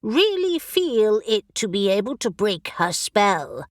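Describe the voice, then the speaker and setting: Bossy raven bird, snappy and impatient, lively up-and-down voice, slow and deliberate, woman reading it. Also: mid-range voice, dry recording, clean studio sound.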